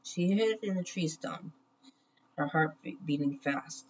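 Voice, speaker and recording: Woman, slightly low voice, children's read-aloud, slow pace, pausing between phrases, noisy muffled recording. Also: breathy edge. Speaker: children's book reader.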